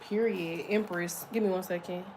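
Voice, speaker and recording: Woman, deep and a little muffled, casual tarot reader, loose and profane, faint hiss behind. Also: steady tone, moderate pace. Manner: chatty, loose, swears casually.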